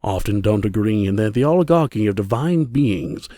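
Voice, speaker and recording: A man's voice: fairly low pitch, expressive, normal speed, mellow, no echo, clean studio sound. A man narrating a scripted fantasy story as its storyteller.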